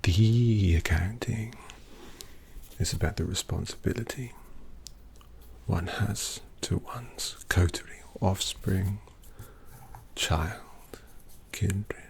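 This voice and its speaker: Vampire painter voiced by a man, whispered, gravelly, polite, quietly menacing, very low. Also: slow pace, pauses often, a little hiss or hum, exaggerated voice. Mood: hushed. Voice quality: soft whispery delivery with gravelly creak. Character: refined male vampire painter, courteous yet predatory. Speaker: ASMR roleplay creator. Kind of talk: whispered ASMR roleplay.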